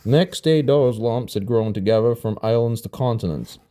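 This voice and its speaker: Mellow, informal storybook reader. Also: medium pitch, normal speed, a little hiss or hum. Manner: informal, stumbles over words and rereads. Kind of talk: read-aloud story narration.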